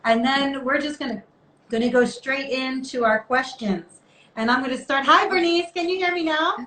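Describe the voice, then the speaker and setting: High-pitched, playful woman hosting, in an echoey room. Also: moderate pace, sharp tone. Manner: playful, teasing, enthusiastic. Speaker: host of a live online interview show. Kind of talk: chatty live interview. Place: indoor room, online video call. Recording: echoey room with background noise.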